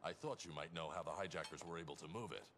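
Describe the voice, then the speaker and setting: An actor playing a stern, brooding masked vigilante in a cartoon, questioning people in a terse, controlled manner. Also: male voice, sits low, quick pace, a bit bright, slight background noise. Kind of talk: animated superhero series acting.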